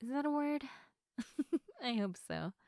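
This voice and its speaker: A young woman playing a sleepy, affectionate girlfriend in an intimate sleep-aid roleplay, speaking softly and tenderly to the listener in a giggly, hesitant way. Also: high voice, lively intonation, takes it slowly, gravelly, no room sound, clean studio sound, exaggerated voice. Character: sleepy, affectionate girlfriend, gentle, playful and sincere. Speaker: audio roleplay performer.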